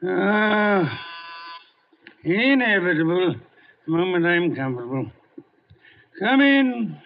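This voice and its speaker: An actor playing a shrewd, imperious private detective with pompous, formal diction in a 1950s radio drama. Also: male voice, sits high, big pitch swings, slow, slight background noise, heavily acted. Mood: feigned vagueness. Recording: dull vintage 1950 radio recording.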